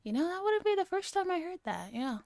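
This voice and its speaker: Soft spoken vtuber lady, high voice, dry close sound, reading a visual novel n teasing gently. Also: big pitch swings, brisk, gravelly, no room sound. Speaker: vtuber streamer.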